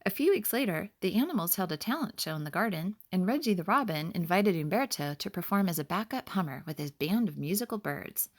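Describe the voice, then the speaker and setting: A woman narrating her own children's story in an expressive, clearly enunciated manner. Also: fairly low pitch, brisk, few pauses. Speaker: author reading own story.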